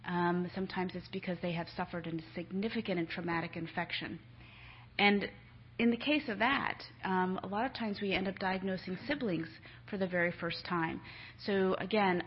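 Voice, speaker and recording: A woman's voice: on the low side, slight background noise. A middle-aged woman, a nurse, giving a conversational conference talk to families, with many filler ums. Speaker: nurse speaker. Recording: dull lo-fi lecture recording.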